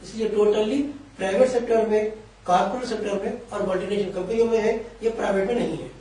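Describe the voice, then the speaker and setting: A man's voice: sits high, steady tone, quick pace, mellow, slight background noise. A man giving career guidance in an interview, explaining in long, winding sentences that mix Urdu-flavoured Hindi with English terms. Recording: echoey room, voice from a distance.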